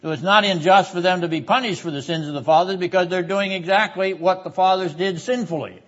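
A man lecturing on the Bible in a classroom, explaining didactically with rhetorical questions and frequent throat clearing. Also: high voice, steady tone, fairly quick, a bit bright. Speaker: seminary lecturer. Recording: slightly dull classroom recording.

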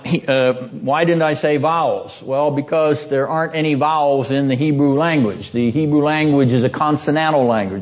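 A man teaching a seminary class on biblical poetry, deliberate and emphatic, repeating his points and often clearing his throat. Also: on the high side. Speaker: seminary lecturer. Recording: dull lo-fi classroom recording.

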